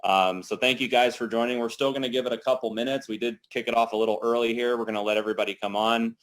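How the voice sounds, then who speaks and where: A man's voice: mid-range voice, fairly even intonation, quick pace, a bit bright. A man hosting a company team call, talking casually and upbeat, with lots of "you know" and "like".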